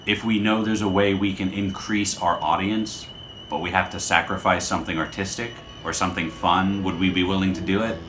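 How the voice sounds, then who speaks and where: A man's voice: low-pitched, fairly even intonation, brisk, a bit bright. A man talking off the cuff to camera as host of his show, rambling, introspective and self-deprecating. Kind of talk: casual unscripted vlog talk to camera. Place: hotel room. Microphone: camera held by another person. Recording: echoey room with faint background noise.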